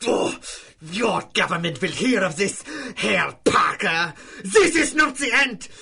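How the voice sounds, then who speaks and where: A man's voice: sits high, very animated, takes it slowly, creaky, pushed and bright, breathy edge, heavily acted. A man playing a pompous, indignant German scientist with a German accent in a pulp radio drama. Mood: outraged. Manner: indignant, bombastic. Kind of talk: 1930s pulp radio acting.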